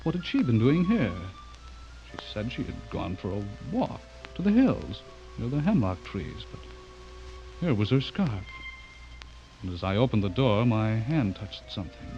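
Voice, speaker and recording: An actor playing a courteous but uneasy bachelor writer in a radio drama, guarded and brooding. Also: male voice, lowish voice, big pitch swings, unhurried, dark tone. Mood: brooding, troubled. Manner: polite, guarded, brooding when narrating. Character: courteous, uneasy older bachelor writer suspected of being a vampire. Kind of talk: radio drama acting with first-person narration. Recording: dull lo-fi radio recording, music behind.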